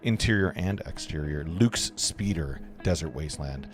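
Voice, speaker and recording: A man's voice: rumbling low, animated, normal speed, faint music behind. A man narrating stage directions at an amateur table read, sometimes stumbling over the words.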